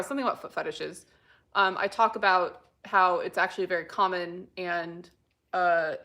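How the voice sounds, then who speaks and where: An American woman talks through a live stream in an easygoing, unhurried way. She reads comments out loud and answers them with plenty of ums and loose asides. Her voice sits at an ordinary middle pitch. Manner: relaxed, unhurried, many fillers; reads viewer comments aloud. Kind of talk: casual live-stream chat.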